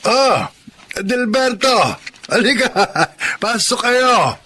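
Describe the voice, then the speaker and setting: A man acting a kindly, lonely old widowed grandfather with a Filipino accent and a halting, wistful manner in an audio drama. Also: extremely high pitch, lively intonation, sharp tone, full character voice. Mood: delighted.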